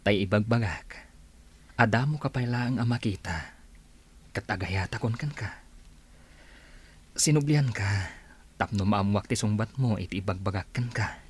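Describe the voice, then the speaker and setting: A sweet, insistent suitor in an Ilocano radio play. His voice is fairly low and husky, with an Ilocano accent. He courts persistently and coaxingly. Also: a little slow, long pauses. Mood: pleading. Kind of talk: Ilocano radio drama acting.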